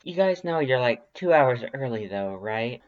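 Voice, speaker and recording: A reader voicing a friendly, slightly awkward homeowner, casual, hesitant and gently teasing, in a fan fiction audiobook. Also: mid-range voice, animated, a little slow, narrow, tinny audio, natural voice.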